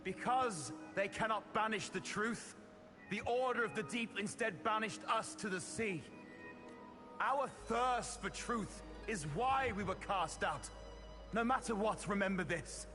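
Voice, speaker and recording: An actor playing a solemn, defiant exiled truth-seeker in a game, with a grave, declamatory delivery. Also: male voice, sits high, expressive, a little slow, over music. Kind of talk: dramatic game voice acting.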